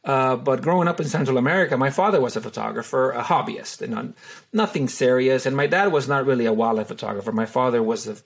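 Middle-aged man from Honduras, gravelly voice, unhurried and full of fillers, an easygoing interview guest. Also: mid-range voice. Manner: hesitant, many fillers and restarts. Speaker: wildlife photographer and tour guide. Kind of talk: relaxed interview answers.

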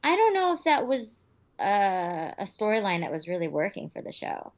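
Casual actress guest, laughs easily, mid-pitched woman; muffled remote-call audio. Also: very animated, normal speed. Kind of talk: casual podcast interview.

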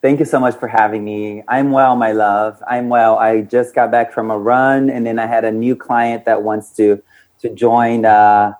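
A man's voice: mid-range voice, level tone, normal speed, darkish tone, muffled recording. Flamboyant Brazilian-accented man. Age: 30s. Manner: flamboyant, affectionate, laughs often. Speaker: guest, meditation coach. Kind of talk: casual interview chat.

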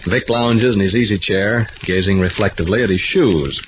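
A man's voice: lowish voice, normal speed, lightly acted. A man narrating as an announcer, setting the scene in scripted 1940s radio style. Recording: muffled, noisy old radio recording.

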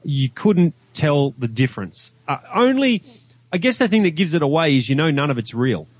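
A man's voice: on the high side, sing-song, moderate pace, dry recording. A man from Australia speaking as a podcast guest, chatting casually as he gives a film review. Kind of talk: casual chat.

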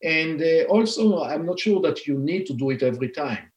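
A man's voice: on the high side, expressive, normal speed, highs cut off. Israeli guy in his 40s, hesitant explainer with lots of uhs, roomy sound. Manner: explanatory, many fillers and restarts. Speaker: company founder and scientist. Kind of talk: podcast interview. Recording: roomy echo.

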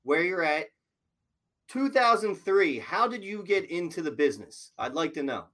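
A man's voice: a little high, normal speed. A man hosting a podcast interview, chatting casually and asking questions with plenty of fillers. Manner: relaxed, filler-heavy questioning. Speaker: podcast host.

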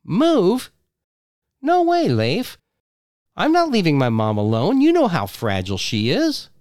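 A man voicing a shrill, furious young wife and mother who screeches accusations at her husband. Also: wildly swooping pitch, unhurried, a little mellow, no room sound, studio quality, exaggerated voice.

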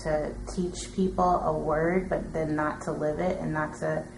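A woman making her point from the audience in an informal religious discussion, insistent but halting. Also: low-pitched, flat delivery. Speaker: audience member commenting at a teaching session. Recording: echoey room with clear background noise.

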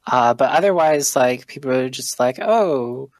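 Male game master, mid-pitched, rambling delivery, dry close mic. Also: lively intonation, measured pace, no echo. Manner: loose, rambling, full of fillers. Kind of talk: narrating a tabletop game.

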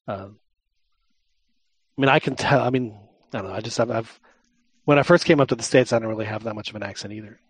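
Husky-voiced man hosting a podcast. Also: mid-range voice, no room sound. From Texas. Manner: halting, repeats words, joking. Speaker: podcast host. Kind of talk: casual podcast chat.

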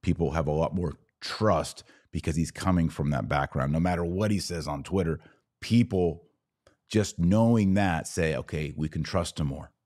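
Deep-voiced American male commentator. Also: moderate pace, a little mellow. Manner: emphatic, preachy, conversational. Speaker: podcast host and religious commentator. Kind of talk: live religious and political commentary, answering viewer comments.

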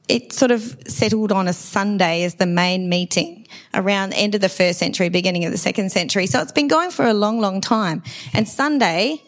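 A middle-aged woman preaches at a church service, her dry voice still hoarse from laryngitis. She talks conversationally and keeps turning questions to the congregation. Her pitch sits in the middle range. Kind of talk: sermon.

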